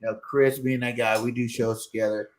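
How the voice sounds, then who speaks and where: Rapid-talking male interview guest. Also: medium pitch. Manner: rapid, animated, name-dropping, frequently says "you know what I'm saying". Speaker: guest content creator. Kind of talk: casual interview chat.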